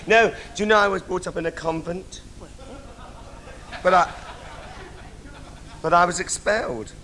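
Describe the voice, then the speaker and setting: A man playing a coy, bawdy young pantomime princess, teasing and halting in a comic stage style. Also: sits high, fairly even intonation, pushed and bright. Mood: mock-coy. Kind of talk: adult pantomime stage comedy. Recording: noisy live theatre stage.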